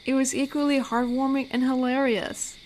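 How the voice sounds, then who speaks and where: A woman voicing an amiable, rambling werewolf who guards a forest, in an intimate audio roleplay with a chatty, gently awkward manner. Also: sits high, level tone, normal speed, a little hiss or hum, close to an everyday voice. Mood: wry, chatty. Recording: night cricket ambience behind voice. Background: crickets and forest night sounds.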